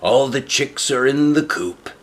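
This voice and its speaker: A man voicing a cunning old wolf posing as a kindly grandmother, coaxing in a drawn-out low voice for a storybook. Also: expressive, natural voice. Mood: feigned sweetness.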